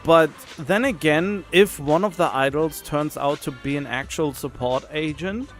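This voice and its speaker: A man's voice: on the high side, a little slow, faint music behind. A man streaming a game with casual, rambling commentary, thinking aloud as he chats. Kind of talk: casual chatty livestream commentary.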